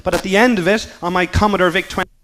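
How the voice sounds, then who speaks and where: An Irish man delivering a quick, humorous conference talk. His voice sits a little high for a man, and he jokes his way through each point with lively energy. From Ireland. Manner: joking, lively, self-deprecating. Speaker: conference speaker. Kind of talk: fast-paced humorous presentation talk.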